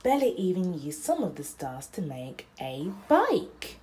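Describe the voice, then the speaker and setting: Slow, expressive young woman reading a storybook. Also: fairly low pitch, a little hiss or hum. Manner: deliberate, expressive, dramatic phrasing. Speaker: mother reading a picture book aloud. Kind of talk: bedtime story narration for children.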